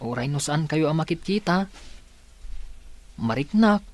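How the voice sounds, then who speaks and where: A man's voice: on the high side, expressive, unhurried. A man playing an earnest young male student and devoted grandson in a radio drama, with a pleading manner. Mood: pleading, troubled. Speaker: voice actor.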